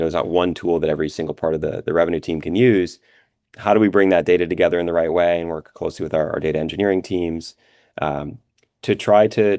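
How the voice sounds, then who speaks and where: Low-voiced man explaining business. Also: darkish tone, no echo, clean studio sound. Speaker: interview guest, revenue operations executive. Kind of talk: conversational business interview answers.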